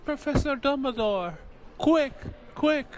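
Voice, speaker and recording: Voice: sits high, lively intonation, slow pace, a little hiss or hum. A performer voicing a self-important, officious teenage schoolboy prefect in a fan audio drama. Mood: alarmed. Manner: pompous, officious.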